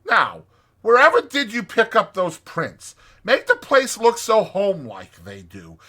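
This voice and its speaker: A cheerful, bossy rat of a storybook, as a man plays him. He is loud and forceful, with a high, leaping pitch. His manner is chummy and exclamatory, that of a loyal friend rallying someone. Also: huge pitch swings, heavily acted. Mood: jolly, teasing. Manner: exclamatory, brisk old-chap chumminess.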